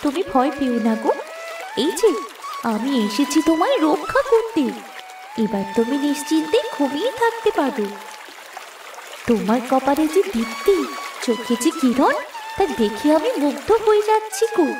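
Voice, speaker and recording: Woman voicing a high, tender river creature. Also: moderate pace, recorded from a distance, over music. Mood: tender, enchanted. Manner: wondering, cooing, expressive. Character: tender female river creature guarding an abandoned baby. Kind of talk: dramatic cartoon fairy-tale voice acting.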